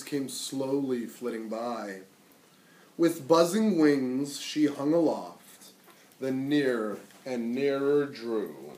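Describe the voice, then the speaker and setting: A man reading a storybook aloud to children in a dramatic, theatrical and deliberate way. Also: medium pitch, a little mellow. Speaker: story reader. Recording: echoey, noisy room, distant mic.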